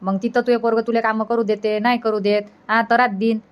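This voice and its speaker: A woman's voice: a little high, flat delivery, a little fast, clean tone, lo-fi sound, close to an everyday voice. A woman voicing a caring, practical village mother in a cartoon comedy, chatty and advising, with a rural Vidarbha Marathi accent. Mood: gently scolding.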